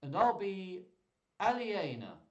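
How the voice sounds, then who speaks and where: A man voicing a plucky, excited young noblewoman in a storybook, with an eager delivery. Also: high voice, takes it slowly, lo-fi sound, voice pushed up, full character voice. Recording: roomy, distant microphone.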